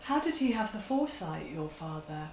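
A woman interviewer asking soft, probing, sympathetic questions. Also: sits low, very animated, moderate pace, a little hiss or hum. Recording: roomy with faint ambience.

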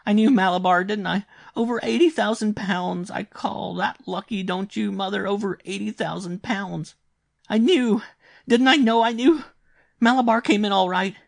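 A frantic, feverish little boy in a storybook reading. The voice is pushed very high and loud, crying out with urgent, insistent excitement, and it is recorded close and dry. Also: normal speed, creaky, no echo. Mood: feverishly excited. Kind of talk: dramatised audiobook reading.

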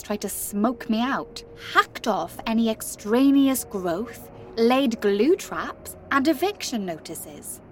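A woman playing a wry, wistful giant from the country in a first-person audio drama monologue, telling her story expressively with hushed, confiding asides. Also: on the high side, slight background noise.

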